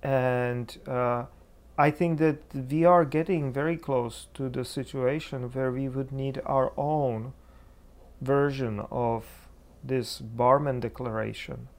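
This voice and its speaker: A man's voice: mid-range voice, fairly even intonation, slow pace. A man with a Czech accent speaking as a preacher in a reflective theological discussion, searching for words as he goes. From Czech Republic. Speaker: preacher, interview guest.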